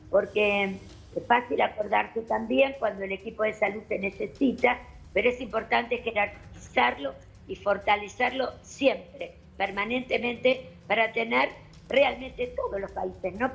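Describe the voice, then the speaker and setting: A woman doctor with an Argentine accent, explaining calmly and methodically on a television panel. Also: on the high side, gravelly, low-quality recording. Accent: Argentine Spanish. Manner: measured, explanatory, addresses host formally.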